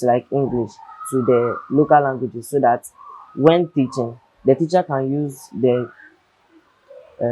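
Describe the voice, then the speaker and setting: A man's voice: mid-range voice, darkish tone, no echo, low-quality recording. A young man student, answering questions hesitantly with many ums and a polite "yes, please". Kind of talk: answering in a discussion.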